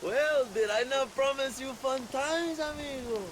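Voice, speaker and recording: Self-important Mexican rebel chief for a game; theatrical, halting, strikingly high voice over noticeable noise. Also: male voice. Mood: conspiratorial. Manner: pompous, theatrical, halting repeats. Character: grandiose, self-important Mexican revolutionary leader, adult man. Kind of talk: video game cutscene acting.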